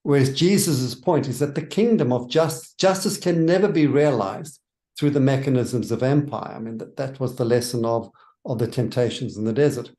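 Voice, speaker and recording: Brief: South African man of middle pitch, church author giving measured, earnest interview answers. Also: dark tone.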